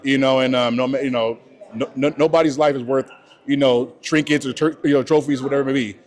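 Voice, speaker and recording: A man's voice: mid-range voice, fairly even intonation, fast talker, a little mellow. A man in his forties from California, a bodybuilding coach, talking casually in an interview and often adding "you know" and "right?". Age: 40s.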